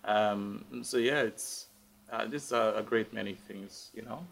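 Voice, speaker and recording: Low-pitched Guyanese man, reflective and hesitant. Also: steady tone, unhurried, creaky, fairly bright tone. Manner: hesitant, reflective, thinking aloud. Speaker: interview guest, tech professional. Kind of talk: casual live conversation.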